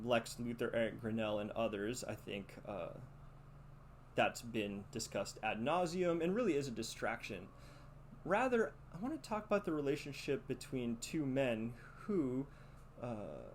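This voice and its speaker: A man hosting a podcast monologue, speaking in a halting, deliberate and reflective way. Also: mid-range voice. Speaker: podcast host. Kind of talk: podcast monologue with read-out letter and commentary. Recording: noticeable background noise.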